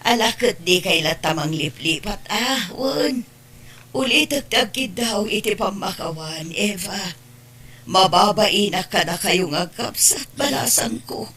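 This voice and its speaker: Older ilocano auntie in a radio drama, gossipy n tearful, sharp forceful voice. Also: female voice, mid-range voice, expressive, measured pace, slightly rough. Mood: moved, apologetic. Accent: Filipino, Ilocano. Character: older provincial aunt, tearful, gossipy, apologetic.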